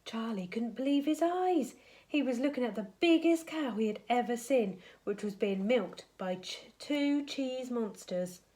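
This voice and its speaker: A woman's voice: medium pitch, warm tone. A woman reading a children's bedtime story aloud, expressively dramatising it for young listeners.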